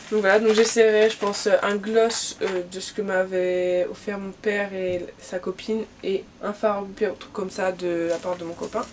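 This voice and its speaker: A woman's voice: mid-range voice, steady tone, a little slow, darkish tone, slight background noise. Chatty young woman with a cold. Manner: chatty, many fillers and restarts. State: has a cold. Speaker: vlogger. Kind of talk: casual gift haul vlog.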